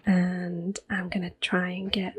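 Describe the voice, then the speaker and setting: Slow, soft-spoken whispering woman. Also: on the low side, completely flat delivery, background noise. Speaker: ASMR gaming channel host. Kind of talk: ASMR gameplay commentary.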